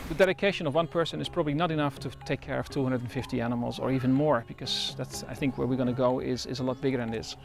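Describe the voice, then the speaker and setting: Gravelly man speaking over music. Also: medium pitch, steady tone. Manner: thoughtful, frequent pauses and restarts. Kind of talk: interview.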